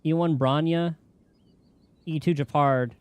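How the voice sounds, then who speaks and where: A man's voice: on the high side, fairly even intonation, takes it slowly, clear, resonant tone, no echo. A man chatting casually on a game livestream, rambling and thinking aloud as he goes. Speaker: game streamer. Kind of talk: casual livestream chat while gaming.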